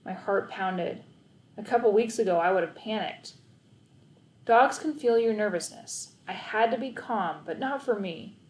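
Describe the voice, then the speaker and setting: A woman narrating a children's novel aloud in an expressive, storytelling style. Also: medium pitch, unhurried, roomy sound, low-quality recording. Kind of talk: expressive children's audiobook narration, first person.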